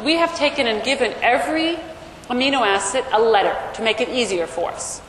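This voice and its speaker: A woman lecturer explaining material to a class in an explanatory way, often checking in with a short questioning word, speaking into a microphone in a large lecture room. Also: a little high. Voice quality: loud voice. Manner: explanatory, checks in with "okay?" and "right?". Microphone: microphone through room amplification. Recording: echoey lecture hall, amplified, faint noise.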